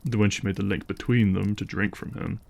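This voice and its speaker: A man's voice: fairly low pitch, fairly even intonation, brisk, gravelly, darkish tone. A man playing a brooding, terse vampire hunter and reluctant ally in a fantasy audio drama, sounding sceptical. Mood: grave, analytical. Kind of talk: fantasy audio roleplay acting.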